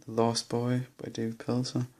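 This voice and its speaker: A man's voice: medium pitch, monotone, moderate pace, a little mellow. A young man with a Danish accent, listing books in a casual bookshelf tour, hesitant and a little nervous. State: nervous and shaky. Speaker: vlogger.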